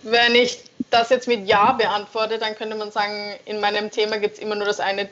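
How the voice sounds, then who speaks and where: A woman's voice: mid-range voice, level tone, moderate pace, fairly bright tone. A young woman songwriter answering interview questions casually, hesitant and searching for words, with frequent laughs. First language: German. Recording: dull lo-fi sound.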